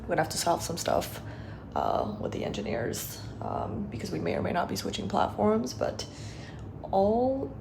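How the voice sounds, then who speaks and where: A woman in her twenties chatting casually and upbeat to camera as a vlogger, her voice slightly hoarse. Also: medium pitch, wildly swooping pitch, normal speed, noisy background. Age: 20s. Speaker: vlogger, startup founder.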